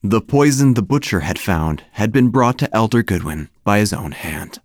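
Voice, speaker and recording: An actor playing a melancholy, haunted obituary writer, narrating gothic horror in a solemn, literary manner. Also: male voice, on the low side, lively intonation, moderate pace, no echo, studio quality, natural voice. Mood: grave. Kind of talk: scripted horror audio drama narration.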